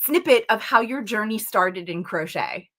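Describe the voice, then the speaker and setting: A woman's voice: medium pitch, lively intonation, normal speed, a bit bright. Bubbly woman hosting a livestream. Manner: bubbly and chatty, sometimes muttering while setting up. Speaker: livestream host.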